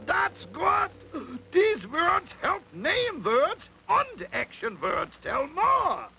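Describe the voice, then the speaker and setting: A man playing an eccentric, excitable cartoon mad scientist professor who lectures in a grandiose, deliberate, dramatic way. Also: very high-pitched, creaky, a little hiss or hum, exaggerated voice, poor audio. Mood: gleeful discovery.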